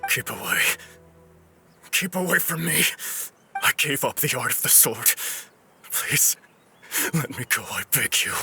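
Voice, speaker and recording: A man's voice: medium pitch, expressive, a little slow, gravelly, pauses often, pushed and bright, soft background music, exaggerated voice. A man playing a tormented, broken former sword master in a video game, pleading in a distressed, strained voice. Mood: terrified, pleading.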